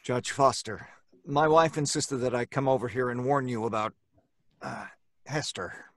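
An actor playing a hesitant, protective husband in an old-time radio drama. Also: male voice, mid-range voice, a little slow, fairly bright tone, close to an everyday voice. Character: hesitant, protective husband soothing his wife.

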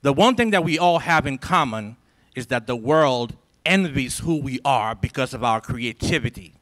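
A man in his forties from Liberia speaks into a handheld microphone before a packed college lecture hall. He talks at an ordinary pitch in a rousing, preacher-like rhythm, with repeated phrases for emphasis, and the sound is dry despite the big room. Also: lively intonation, normal speed, fairly bright tone. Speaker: symposium speaker. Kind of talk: motivational speech to students.

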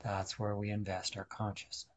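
A man leading a guided meditation, speaking deliberately in short instructional phrases with pauses. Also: lowish voice, completely flat delivery.

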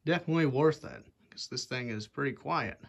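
A man's voice: medium pitch, fairly quick, vocal fry, slightly rough. Young man rambling about a ceiling fan. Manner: rambling, offhand narration with many "anyway"s. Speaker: ceiling fan collector channel host. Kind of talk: casual fan demo vlog. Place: attic or shed with exposed wooden rafters, indoors. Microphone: phone camera held by hand, pointed up at a fan.

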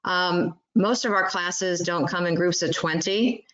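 A woman asking questions in an online meeting with halting phrasing. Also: on the low side, a bit bright, lo-fi sound. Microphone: video call. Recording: echoey room on video call.

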